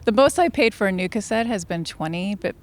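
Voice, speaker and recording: A woman's voice: medium pitch, expressive, brisk, no echo. A woman hosting a casual interview, speaking informally and agreeably. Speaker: interviewer, radio host.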